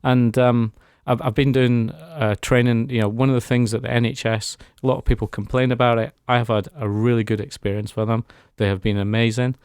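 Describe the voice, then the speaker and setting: A man's voice: mid-range voice, steady tone, fairly quick, few pauses, a little mellow, clear, resonant tone, no room sound, clean studio sound. A man speaking as a guest in a radio interview, giving an earnest, reflective personal testimony. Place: radio studio.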